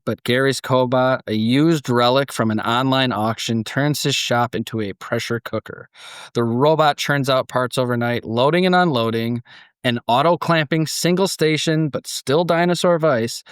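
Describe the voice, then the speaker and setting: A man with a medium-pitched voice hosts a podcast. He talks in a conversational, casual way and moves easily into telling a story. The sound is very dry, with no room around it. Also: a bit bright, smooth, no echo. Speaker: podcast host and narrator. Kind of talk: casual chat mixed with scripted story narration.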